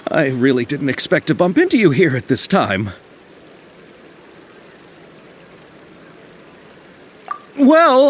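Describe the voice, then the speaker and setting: A man's voice: mid-range voice, a little hiss or hum, close to an everyday voice. A man playing an eager, polite and bookish young filmmaker in a video game, explaining things earnestly and wordily. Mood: downcast but polite. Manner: earnest, wordy explainer, very expressive. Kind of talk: video game cutscene acting.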